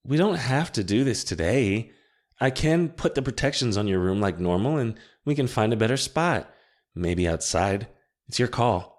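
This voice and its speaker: A man voicing a calm, steady female witch in an audiobook, speaking in a measured, reassuring way. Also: mid-range voice, pitched above the performer's own voice, close to an everyday voice. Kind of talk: audiobook character voice.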